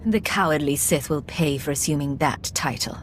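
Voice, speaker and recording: An actress playing a cool, resolute Imperial agent in a game, with firm, businesslike speech. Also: female voice, low-pitched, moderate pace, slight background noise, lightly acted. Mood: cold defiance. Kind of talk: video game cutscene acting.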